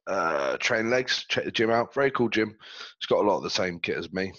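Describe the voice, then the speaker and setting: A man's voice: sits low, lively intonation, a little fast, pushed and bright, no echo, muffled recording. Stuffy, laid-back guy. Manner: laid-back, slangy, rambling. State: stuffy, under the weather. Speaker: podcast co-host. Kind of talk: casual chat. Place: reclined in a chair at home. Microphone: headset.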